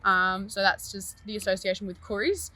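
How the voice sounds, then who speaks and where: A young Australian woman answering interview questions in a measured, patient way. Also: mid-range voice, fairly even intonation, bright, forceful tone. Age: young adult.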